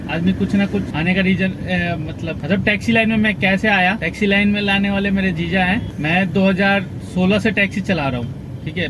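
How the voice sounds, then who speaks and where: A man's voice: high-pitched, noisy background. A young man from Bihar, a taxi driver, chatting through his day's rides and fares in a casual vlog from inside his car. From Bihar, India. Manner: chatty, running through fares and waits in detail. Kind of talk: casual driving vlog talk. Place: in a car, city traffic.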